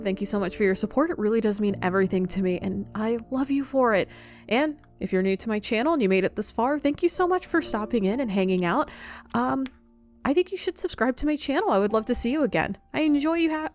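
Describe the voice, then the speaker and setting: A woman's voice: fairly high pitch, fairly quick, soft background music. A woman giving casual, chatty gameplay commentary, talking affectionately to her viewers as host of her channel. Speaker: video game streamer, channel host.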